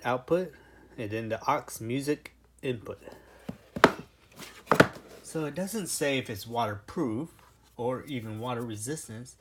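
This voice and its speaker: A product reviewer giving casual unboxing commentary, informal and thinking aloud. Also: mid-range voice. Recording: clearly noisy home recording with clicks.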